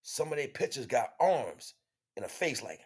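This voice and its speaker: A man's voice: medium pitch, sing-song, normal speed, breathy edge. An American man giving a casual spiritual monologue as a livestream host, in slangy, profane, conversational speech.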